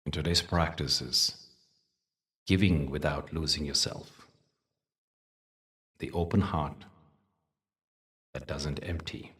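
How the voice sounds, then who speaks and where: A man leading a guided meditation in a soothing, measured way, leaving long pauses between short phrases. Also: extremely low pitch, fairly bright tone, far from the mic. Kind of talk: guided meditation with spoken teaching.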